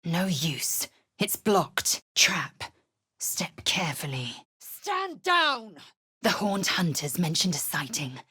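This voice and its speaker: Game role: proud female githyanki warrior; low, very husky, slow and commanding, contemptuous. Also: huge pitch swings, sharp tone, exaggerated voice. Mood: stern. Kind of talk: video game character lines.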